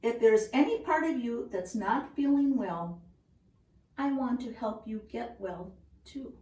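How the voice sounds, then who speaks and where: Slow-reading woman in a roomy, distant recording. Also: fairly high pitch, expressive, dark tone. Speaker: storybook reader. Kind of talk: reading a children's picture book aloud. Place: indoors at home.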